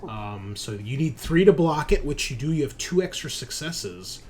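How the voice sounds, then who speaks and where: A man's voice: mid-range voice, lively intonation, moderate pace, a crowd in the background. A man running a tabletop roleplaying game as game master, talking casually and with enthusiasm, swearing now and then. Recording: music playing behind the voice. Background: music.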